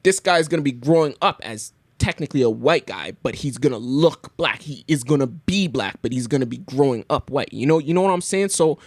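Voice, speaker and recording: Young man musing haltingly, dry sound. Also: mid-range voice, expressive, dry recording. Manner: thoughtful, halting, many restarts. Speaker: podcast guest. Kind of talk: casual conversation.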